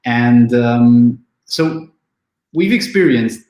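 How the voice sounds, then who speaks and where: A man's voice: mid-range voice, expressive, extremely slow, a little mellow. A man in his thirties from Romania, an event organizer, talking in a casual online interview with many fillers and restarts. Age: 30s. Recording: echoey room.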